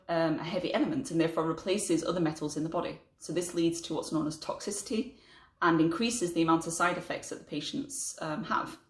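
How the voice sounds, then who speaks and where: A woman with a low, grounded voice talks steadily about her research. Her manner is earnest and explanatory, like a prepared piece to camera. The sound is roomy, with a clear echo around her. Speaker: chemistry research fellow. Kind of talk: scripted talk to camera.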